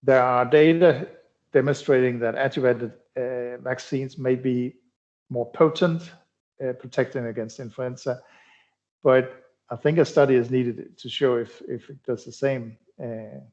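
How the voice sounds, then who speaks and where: A middle-aged man from Denmark, a cardiology researcher answering interview questions in a halting way, with many fillers and restarts. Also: medium pitch, steady tone, darkish tone. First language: Danish. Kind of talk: conversational expert interview. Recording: dull lo-fi sound.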